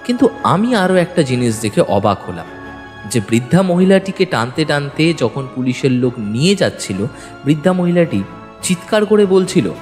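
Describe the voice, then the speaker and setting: A man's voice: on the high side, very animated, normal speed, darkish tone. A man narrating a detective audio story in the first person, suspenseful and dramatic. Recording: long reverb, music bed. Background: music.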